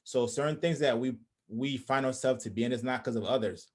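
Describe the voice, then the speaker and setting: A man's voice: mid-range voice, brisk. A young Haitian man hosting a casual video-call conversation, speaking reflectively with many restarts and fillers from a small room. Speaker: host. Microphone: video call webcam.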